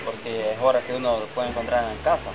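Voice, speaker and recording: A man's voice: medium pitch, little pitch movement, quick pace, natural voice. A middle-aged man playing a friendly, persuasive countryman in an amateur drama, rambling and repeating himself, recorded outdoors. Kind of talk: amateur acted family drama, improvised dialogue. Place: outdoors in a rural yard under trees. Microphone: camera a few metres away. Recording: outdoor recording with clear background noise.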